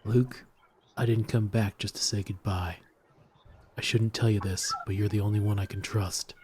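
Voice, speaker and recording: A man's voice: on the low side, steady tone, normal speed, noisy background, close to an everyday voice. A man voicing an earnest, conspiratorial young rebel confiding in his friend, in an amateur table read of a film script.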